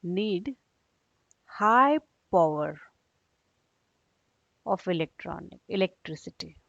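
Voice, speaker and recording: A woman's voice: on the low side, expressive, takes it slowly, creaky. A woman teacher giving a read-along lesson for young children, explaining in a didactic way and checking understanding with short questions. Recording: dull, echoey room recording.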